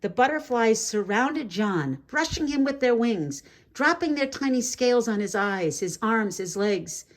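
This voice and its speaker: A woman reading a children's story aloud in an expressive, deliberate way with dramatic phrasing. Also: on the high side. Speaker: storybook reader. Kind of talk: read-aloud children's story narration with asides.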